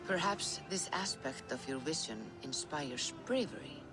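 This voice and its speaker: An actress playing a wise Norse seeress in a cinematic video game, a calm spiritual guide who speaks in a measured, formal, probing way. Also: female voice, low voice. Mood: reassuring, probing. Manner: measured, formal, attentive questioning. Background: music.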